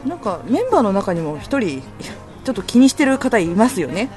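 Lively female radio navigator over music. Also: medium pitch, fairly quick. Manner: polite, conversational. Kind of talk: radio hosting chat.

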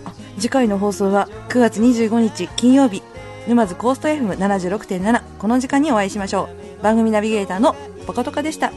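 A woman's voice: a little high, a little fast. A woman hosting a radio talk show in a polite, conversational way. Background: music.

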